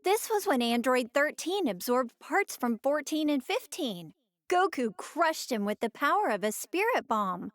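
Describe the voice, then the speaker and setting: This is an outspoken young anime woman from a video game. She speaks in a very high, girlish voice with candid, animated delivery. The sound is dry, with no room to it. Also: a little slow, dry recording. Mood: earnest.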